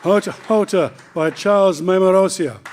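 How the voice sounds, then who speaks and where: High-voiced man listing nominees. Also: a little mellow, a faint crowd, slight background noise. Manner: brisk list reading. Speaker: award announcer. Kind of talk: reading out nominees. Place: ballroom awards night.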